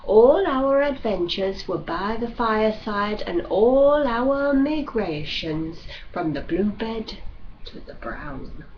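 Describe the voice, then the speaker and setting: A woman narrating a classic novel as an audiobook reader, with a measured, expressive storytelling delivery. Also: mid-range voice. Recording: dull lo-fi recording with faint noise.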